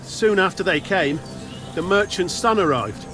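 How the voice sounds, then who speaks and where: An actor playing a frightened, rough-spoken dungeon guard in a video game, giving an urgent, blunt report. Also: male voice, high-pitched, expressive, unhurried, sharp tone, soft background music, exaggerated voice. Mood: shaken. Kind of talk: dramatic video game cutscene acting.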